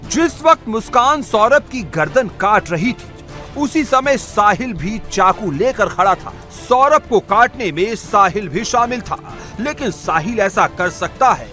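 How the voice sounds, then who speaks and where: A man delivers dramatic crime news narration in a high-pitched, forceful voice. His delivery is sensational and emphatic, with music playing underneath. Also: animated, a little slow. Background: background music.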